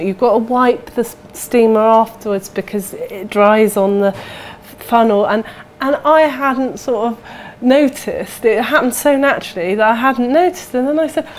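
A woman's voice: on the high side, normal speed, slight background noise. A British woman in her thirties speaking in an interview as a cafe manager, on a lapel mic indoors. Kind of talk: interview testimonial. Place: indoors in a small cafe room.

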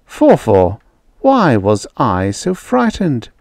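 A storybook little boy, acted out by a man, high and swooping in pitch. He is frightened, pleading and breathlessly devoted to his robot. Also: huge pitch swings, takes it slowly, creaky, darkish tone, no room sound, clean studio sound, full character voice. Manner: breathless, exclamatory, childlike.

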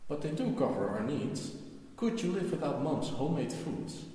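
A man's voice: fairly high pitch, big pitch swings, normal speed, mellow. A man playing a questioning boy in scripted educational cartoon dialogue, quizzing and prompting as he goes. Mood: challenging. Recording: very echoey room, long reverb.

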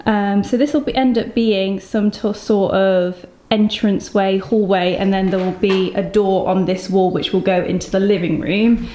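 An English woman in her thirties talks casually to her viewers in an enthusiastic, gushing way. Her voice sits at an average pitch. The recording is echoey, with some noise behind her. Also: steady tone, normal speed, dark tone. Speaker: vlogger. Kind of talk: casual vlog tour, chatty. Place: home room, car, brick barn and yard. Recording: echoey rooms, noticeable background noise.

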